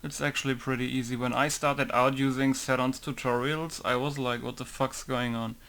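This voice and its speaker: A man's voice: medium pitch, normal speed, vocal fry. A man who mods games, talking casually and rambling as he works through a tutorial, laughing now and then. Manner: relaxed, rambling, laughs often. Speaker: game modder. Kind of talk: casual screen-recording commentary.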